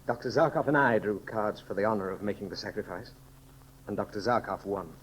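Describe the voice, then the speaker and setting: An actor playing a grave, loyal companion and adviser, speaking formally and with measured solemnity in a 1930s radio serial. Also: male voice, on the low side, natural voice. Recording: noisy 1930s radio recording.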